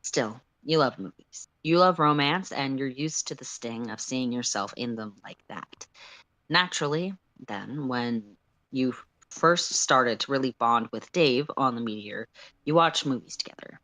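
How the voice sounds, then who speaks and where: Casual streamer reading stories n chatting, mid pitched voice, super dry close sound, kinda self-deprecating. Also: expressive, unhurried, bright, forceful tone, no room sound, poor audio. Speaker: livestream co-host and story reader. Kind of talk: casual chat and fan fiction read aloud.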